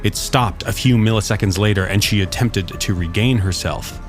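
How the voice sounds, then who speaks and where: Guy with a lowish voice narrating a sci-fi story, music playing behind him. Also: moderate pace. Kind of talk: scripted science fiction story narration. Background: music under the voice.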